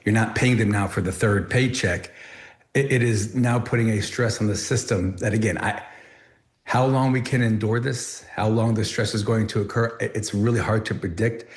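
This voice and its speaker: Low-voiced man in an echoey interview. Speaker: union official being interviewed. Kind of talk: interview answer. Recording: echoey, roomy interview sound.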